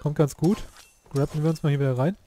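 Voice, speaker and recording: A hoarse man giving casual, excitable commentary while playing a game, often exclaiming and swearing at what happens. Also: fairly high pitch, fast. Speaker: let's play youtuber.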